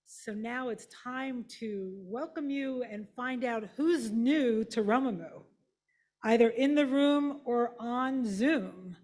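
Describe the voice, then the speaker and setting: A woman from Brooklyn welcomes a congregation in a high, friendly voice. She talks informally and greets people by name as she goes. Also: slow pace, a little mellow. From Brooklyn, New York. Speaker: service leader. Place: prayer hall with attendees and online viewers.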